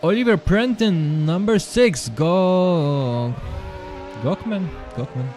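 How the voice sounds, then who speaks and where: A man's voice: high-pitched, lively intonation, a little mellow, faint music behind. A man whose first language is Polish, commentating a sim racing session live, often repeating words and restarting sentences, with non-native English. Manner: halting, repeats words, apologetic. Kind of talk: live esports race commentary.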